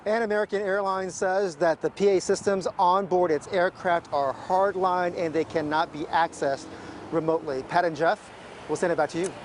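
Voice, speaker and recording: High-pitched male live reporter. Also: steady tone, moderate pace. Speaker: field reporter. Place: outdoors at an airport. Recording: live outdoor shot, faint ambient noise.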